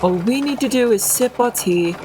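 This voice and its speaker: A woman playing a self-possessed young noble boy with a measured, formal manner in an anime-style manga dub. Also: fairly high pitch, lively intonation, background noise, lightly acted. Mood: calm, certain. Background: music.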